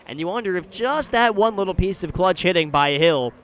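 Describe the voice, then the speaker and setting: A man doing live radio play-by-play commentary of a baseball game, describing the action in a measured way that rises when the play picks up. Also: sits high, lively intonation. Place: live at an outdoor ballpark.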